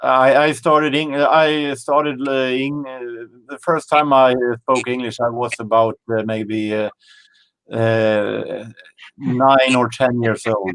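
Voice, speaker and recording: Swedish-accented man, hesitant, lots of fillers, relaxed video-call chat guest. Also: medium pitch, poor audio. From Sweden. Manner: hesitant, halting with many fillers.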